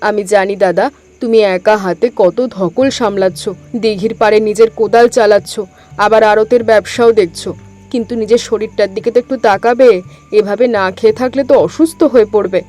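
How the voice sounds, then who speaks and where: A woman voicing a caring younger sister in a family cartoon drama, gently scolding her brother. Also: medium pitch, fairly even intonation, brisk, soft background music, close to an everyday voice.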